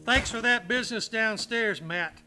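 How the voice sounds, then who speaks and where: An actor playing a rough, hearty, affectionate frontiersman with folksy speech in a western radio play. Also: sits high, normal speed, over music, close to an everyday voice. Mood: fond, amused.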